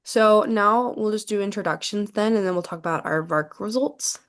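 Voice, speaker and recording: A woman's voice: medium pitch, moderate pace. A woman in her twenties from Tennessee, a college student casually leading introductions on a video call, friendly and a bit hesitant with lots of ums.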